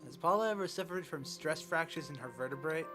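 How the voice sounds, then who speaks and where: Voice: mid-range voice, fairly quick, over music, lightly acted. A performer voicing a polite, earnest male doctor asking questions in a fan dub. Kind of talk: amateur fan dub of a medical drama.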